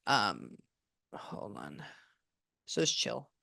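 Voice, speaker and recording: Chatty low-voiced woman medium. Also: wildly swooping pitch, vocal fry, pushed and bright. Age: 30s. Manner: chatty and rambling, quick bursts of surprise. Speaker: psychic medium. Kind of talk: live reading session on a video call.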